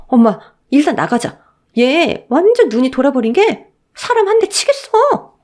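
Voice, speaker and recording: A woman's voice: high-pitched, sing-song, normal speed, darkish tone, pitched above the performer's own voice. A woman as a catty, snide sister-in-law. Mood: uneasy. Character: snide adult sister-in-law siding with her mother. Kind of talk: melodramatic story acting.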